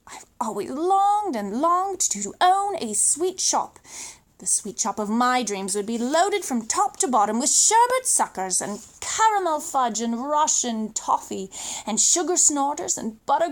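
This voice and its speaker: A British woman reads a children's book aloud as a storyteller. Her voice sits high and swoops up and down with lively range. The delivery is theatrical, leaning hard on key words for dramatic emphasis. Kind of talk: expressive children's story reading.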